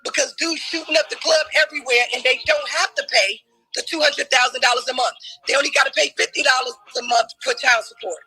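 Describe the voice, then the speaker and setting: A woman's voice: high voice, fairly even intonation, quick pace, rough recording. A woman arguing in a heated debate, emphatic and challenging, and losing her voice. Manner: forceful, emphatic, challenging questions.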